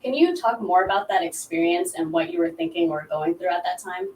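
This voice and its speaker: A woman interviewing a guest, affirming his answers and asking measured questions. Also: fairly low pitch, expressive, darkish tone, far from the mic, a little hiss or hum. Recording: echoey room, voice away from mic.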